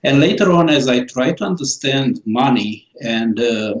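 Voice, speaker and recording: A man's voice: medium pitch, expressive, muffled recording. Romanian-accented male author, forties, hesitant interview guest, roomy sound. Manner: slow, halting, many fillers and restarts. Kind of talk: interview answers.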